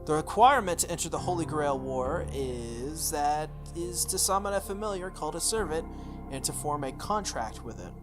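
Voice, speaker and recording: A let's play host with a medium-pitched voice reads a visual novel over clear background music. The delivery slips between narration and casual, joking asides. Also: expressive, moderate pace. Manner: jokey, self-interrupting asides.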